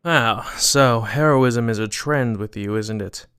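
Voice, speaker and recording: An actor playing a calm, considerate male travelling companion in scripted audio drama dialogue. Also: medium pitch, measured pace, clear, resonant tone, soft background music. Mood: calm, probing.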